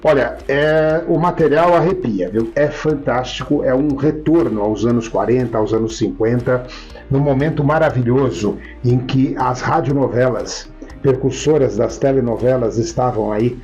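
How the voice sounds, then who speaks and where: A man's voice: medium pitch, normal speed, a little mellow, lo-fi sound, soft background music. A man with a Brazilian Portuguese accent hosting an interview, effusive and exclaiming, repeating words for emphasis as he addresses viewers. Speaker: interview show host and professor.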